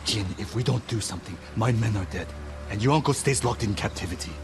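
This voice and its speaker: A man's voice: lowish voice, fast, music in the background, close to an everyday voice. A man acting a brash mercenary ronin leader in a video game cinematic, blunt and familiar with a wry edge. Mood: blunt urgency.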